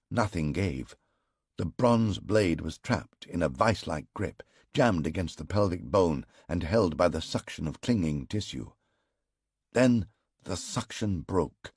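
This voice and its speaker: A man narrating a first-person historical story for an audiobook in a slow, grave, measured way. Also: deep voice, darkish tone, husky, no room sound, clean studio sound.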